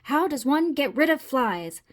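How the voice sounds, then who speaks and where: Woman voicing a high-pitched, fussy neat-freak frog. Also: big pitch swings, a little slow. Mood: panicked, alarmed. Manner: theatrical, indignant, exclaiming. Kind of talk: children's storybook read-aloud.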